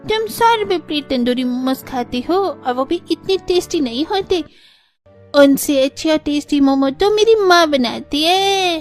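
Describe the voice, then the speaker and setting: A woman's voice: high voice, animated, measured pace. A woman voicing a proud, sulky little schoolboy from a poor family in a cartoon. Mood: boastful. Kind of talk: cartoon dialogue acting. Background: background music.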